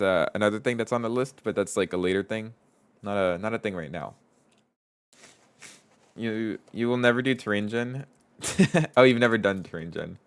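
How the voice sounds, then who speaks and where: A man with a stuffy nose, streaming live as a game developer, chatting casually, reading chat aloud and thinking out loud as he codes. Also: fairly low pitch, smooth. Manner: thinks aloud, reads chat aloud, trails off and restarts.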